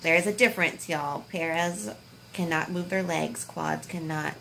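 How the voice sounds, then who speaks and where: A woman's voice: lowish voice, normal speed, creaky, a bit bright, slight background noise. A woman of twenty-nine, chatting bubbly and giggly to viewers on a phone camera in a hospital room. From United States. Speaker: vlogger. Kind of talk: casual couple vlog chat. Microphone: phone camera at arm's length.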